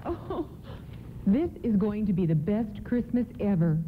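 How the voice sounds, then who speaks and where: A woman's voice: mid-range voice, sing-song, a little slow. An actress playing a tender, sentimental mother and devoted wife in a radio play. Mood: happy, grateful. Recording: narrow, slightly noisy AM broadcast.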